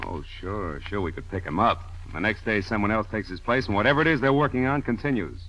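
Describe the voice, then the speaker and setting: A businesslike intelligence boss who lays things out with firm authority. His voice sits low. The recording is a muffled old radio drama. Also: fast talker, a bit bright. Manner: matter-of-fact, authoritative. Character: brisk, businesslike male intelligence chief, no-nonsense. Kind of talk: 1940s radio drama acting.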